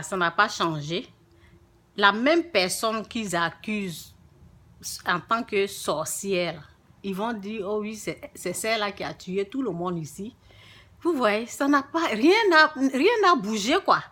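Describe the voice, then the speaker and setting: A woman's voice: mid-range voice, pushed and bright. A woman from Africa with a francophone African accent, giving an informal spiritual talk to viewers and repeating phrases emphatically. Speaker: religious vlogger.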